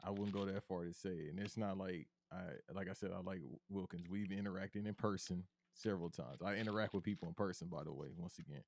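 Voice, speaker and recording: A man's voice: quick pace, few pauses, a little hiss or hum. A man co-hosting a podcast, talking wrestling in a casual, emphatic way and calling his co-host bro. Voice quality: deep bass voice. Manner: animated, emphatic, slangy, calls his cohost bro.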